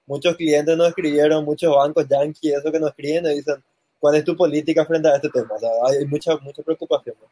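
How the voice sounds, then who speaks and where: Fast-talking, mellow man. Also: on the high side, fairly even intonation, breathy edge, no room sound. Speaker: panelist. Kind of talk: casual chat, recounting experience.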